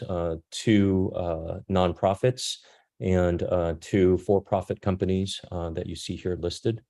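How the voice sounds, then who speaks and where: A man in his fifties, a native Korean speaker who grew up in France and the United States, giving an informal career talk as a doctor, with halting phrasing. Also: sits low, dark tone. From born in South Korea, grew up in France and the United States. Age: 50s. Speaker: guest speaker, critical care doctor.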